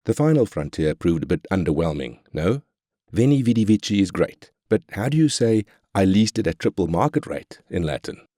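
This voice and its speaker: An arrogant business magnate with an extremely deep voice, recorded dry and close. He is brash, cynical and commanding, a callous corporate boss from a strategy game. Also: sing-song, moderate pace, mellow, dry recording. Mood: wry, sardonic. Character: ruthless, arrogant South African corporate tycoon. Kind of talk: scripted game character quotes.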